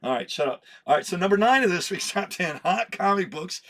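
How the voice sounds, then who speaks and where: A slightly hoarse man hosting a comic book vlog, chatty and self-deprecating, laughing at his own slips. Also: high voice, animated, fairly quick, fairly bright tone. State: a little hoarse. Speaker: comic collector host. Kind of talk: rambling casual vlog.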